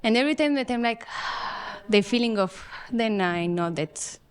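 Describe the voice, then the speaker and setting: A woman, a psychology student reflecting calmly as a podcast guest. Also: a little high, moderate pace. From Italy. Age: 20s. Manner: calm, reflective, some fillers.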